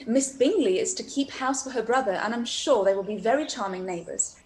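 A woman plays a sweet young gentlewoman in a Regency drama. Her high voice is gentle and earnest, with faint noise behind it. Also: a little fast, close to an everyday voice. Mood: gentle, admiring. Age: young adult. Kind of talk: period drama read-through. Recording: faintly noisy video call.

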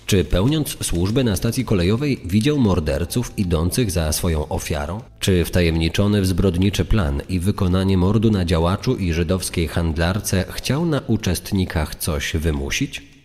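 An actor playing a grave, rhetorical 1930s crime reporter in a dramatised press reading. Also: male voice, low voice, moderate pace, a little mellow, natural voice. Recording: long reverb.

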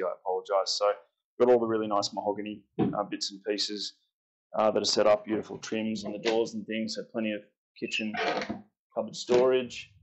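A young Australian man giving a relaxed, chatty walkthrough of his timber boat, pointing out features as he moves through the cabins. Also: mid-range voice, dull audio. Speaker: boat owner giving a tour. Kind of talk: casual walkthrough tour. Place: inside a timber boat's cabins.

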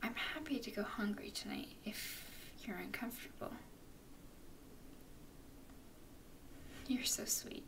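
A woman playing a tender, doting vampire girlfriend in a soothing ASMR roleplay, mostly in breathy whispers. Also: fairly high pitch, far from the mic, rough recording. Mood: gentle, reassuring. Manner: soothing, affectionate, unhurried. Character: tender, doting female vampire girlfriend comforting her nervous partner.

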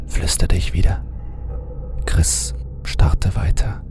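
A man narrating a horror audiobook in a hushed voice that often drops to a half-whisper. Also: deep voice, slow. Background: music bed.

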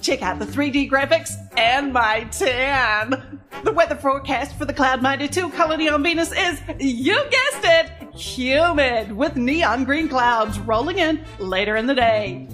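Over light music, an actress plays a perky female TV weather presenter on a space colony. Her voice is very high, gushing and chummy, in a parody forecast for a radio play. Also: measured pace, fairly bright tone. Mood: bubbly, excited. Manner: bubbly, chummy. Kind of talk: parody TV weather report in audio drama.

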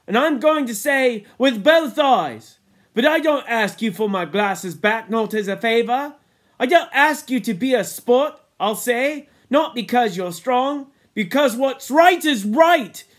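A man's voice: very high voice, expressive, unhurried, voice pushed up, full character voice. A man voicing an indignant, pleading young boy in a storybook reading, speaking insistently in colloquial schoolboy grammar.

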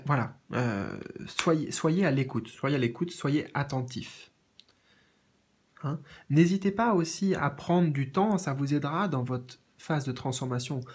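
A man with a cold and a sore throat giving a tarot reading in a gentle, repetitive way with many fillers. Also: medium pitch, breathy edge. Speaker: tarot reader. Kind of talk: tarot reading, reading out card meanings. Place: indoors at a card table.

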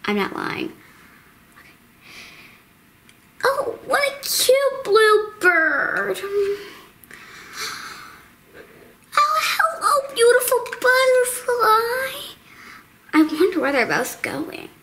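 A very high, bright puppet girl hosts a children's show. She is excitable and singsong, and her pitch leaps around. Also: slow, pauses often, slight background noise, exaggerated voice. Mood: cheerful, chatty. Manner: exaggerated, singsong, enthusiastic.

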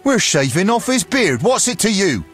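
An actor playing a bullying village thug in a fantasy game, taunting and aggressive. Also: male voice, sits high, very animated, moderate pace, soft background music. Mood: taunting, hostile. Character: jeering, bullying male human village thug. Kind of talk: fantasy video game dialogue.

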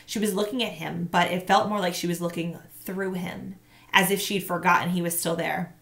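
A woman in her thirties reading a children's novel aloud in the expressive way of a teacher. Her voice carries a touch of vocal fry. She sits close to her webcam in a small room at home. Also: fairly low pitch, moderate pace. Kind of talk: read-aloud storytelling. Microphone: webcam at close range.

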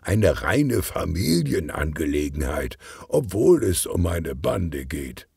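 A man acting a slow, deep, genial detective chief. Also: sing-song, vocal fry, a little mellow, breathy edge, no room sound, clean studio sound, exaggerated voice. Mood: good-humoured. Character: genial male police detective, portly, cheerful, teasing chief. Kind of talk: children's audiobook character reading.

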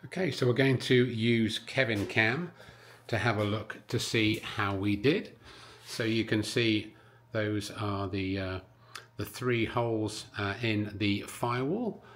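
A man in his fifties hosting a DIY vlog from his garage, explaining things casually with frequent pauses on a lapel mic. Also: on the low side, fairly bright tone. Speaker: host of a DIY car-building vlog. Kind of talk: casual instructional talk to camera. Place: home garage. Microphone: clip-on lapel mic.

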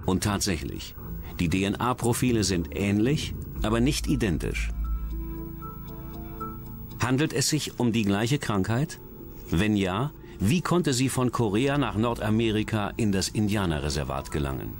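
Male narrator, low and expressive, measured dramatic documentary read, faint music underneath. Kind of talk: scripted true-crime science documentary narration.

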